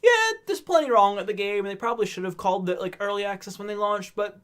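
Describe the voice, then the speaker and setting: High-voiced man, laughing podcast co-host. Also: fast talker. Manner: laughs often, animated, restarts words. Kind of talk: casual chat.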